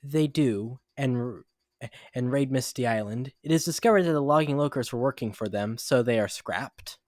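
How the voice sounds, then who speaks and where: A man's voice: medium pitch, normal speed, no room sound, clean studio sound. A man doing an amateur dramatic reading of a fan story as narrator, stumbling now and then over the words. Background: background music.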